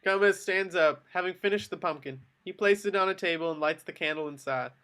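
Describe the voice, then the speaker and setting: Male narrator, fairly high, bright forceful tone, reading scripted action description. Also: fairly quick, clear, resonant tone. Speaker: narrator reading stage directions. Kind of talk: scripted narration for a table read.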